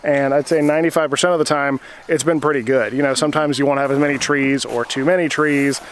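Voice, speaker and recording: A man in his forties explains things in a steady, matter-of-fact way to a handheld camera outdoors. His voice sits at an average pitch, with a few fillers. Faint outdoor noise sits behind him. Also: quick pace. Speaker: RV travel vlogger and driver. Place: outdoors at a campground playground. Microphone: camera held at arm's length.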